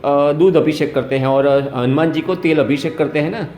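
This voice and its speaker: A man's voice: on the high side, normal speed, a little mellow, a little hiss or hum. A man with a Gujarati accent explains step by step in a phone conversation, using everyday examples. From Gujarat, India. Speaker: host, activist caller. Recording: roomy, echoey call recording.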